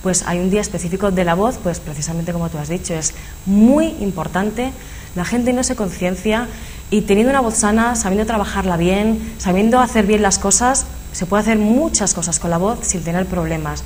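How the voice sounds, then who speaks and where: A woman's voice: medium pitch, fairly quick, a little mellow, a little hiss or hum. A woman with a Spanish accent, a speech therapist guest in a TV studio interview, explaining animatedly with many fillers. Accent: Spanish from Spain. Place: local TV studio.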